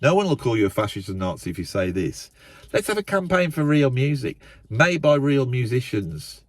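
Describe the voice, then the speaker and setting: A man ranting into a camera in his parked car, loud and emphatic, repeating himself, his nose a little blocked up. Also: mid-range voice, sing-song, moderate pace, no room sound. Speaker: YouTube channel host. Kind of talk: impassioned monologue to camera. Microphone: camera set up in the car.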